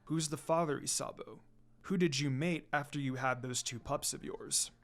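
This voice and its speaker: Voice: medium pitch, moderate pace, soft background music, voice pushed down, natural voice. A reader voicing a reserved male demon, an older brother, in a fan fiction story, with a terse, subdued manner. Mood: questioning.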